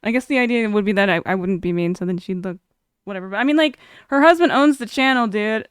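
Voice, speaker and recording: A woman's voice: medium pitch, fast, clear, resonant tone, no echo, clean studio sound. A young woman streamer chatting casually, reading chat aloud and laughing often.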